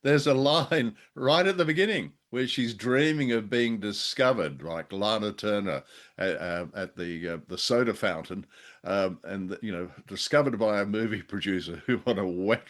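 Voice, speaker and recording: Low-voiced man, hesitant and wry. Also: moderate pace, a bit bright. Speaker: podcast co-host. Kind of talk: conversational discussion of old radio drama.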